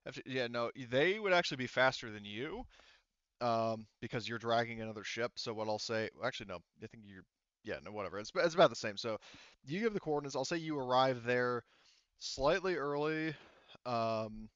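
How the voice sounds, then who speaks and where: A man's voice: mid-range voice, animated, moderate pace, a bit bright, clear, resonant tone, no echo, lo-fi sound. A man acting as game master in a casual tabletop roleplaying session, rambling and thinking aloud with the odd swear word.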